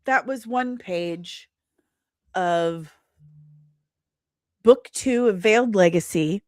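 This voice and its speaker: A woman's voice: medium pitch, takes it slowly, darkish tone, no room sound, clean studio sound. A woman with a playful, theatrical manner, hosting a livestream and reading aloud from her comic script. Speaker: host and comic author.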